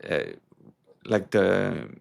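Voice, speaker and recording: Low-pitched, creaky-voiced man giving interview answers. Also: a little mellow. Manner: halting, filler-heavy explanations. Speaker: security executive being interviewed.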